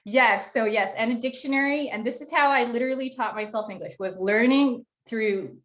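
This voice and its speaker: A woman's voice: on the high side, normal speed. Chatty woman telling a rambling story. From Canada. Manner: rambling, self-deprecating, many restarts. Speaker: panelist. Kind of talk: casual storytelling on a video call panel.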